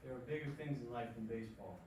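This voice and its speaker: A man's voice: mid-range voice, lively intonation, fast, a little mellow, natural voice. A man in a staged play reading playing a solemn older father, loving and firm as he advises. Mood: solemn, urging. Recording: very echoey hall, distant mic, faint noise.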